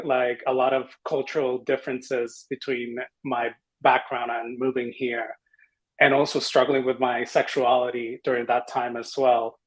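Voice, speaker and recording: A man's voice: mid-range voice, poor audio. A man from the Dominican Republic speaking as a panelist, casually and enthusiastically introducing himself. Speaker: panelist, software engineering manager.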